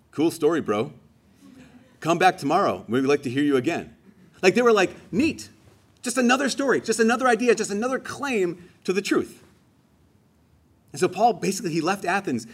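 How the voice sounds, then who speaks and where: A man's voice: sits high, expressive, moderate pace, long pauses, breathy edge. A man giving a homily in a conversational, emphatic way, rising on key phrases. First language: English. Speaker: preacher.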